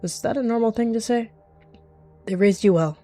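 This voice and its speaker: Scheming rogue cat played by a roleplayer, guarded and measured, animal roleplay over music. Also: mid-range voice, warm tone, voice pushed up, lightly acted. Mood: polite, probing. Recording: music behind the voice.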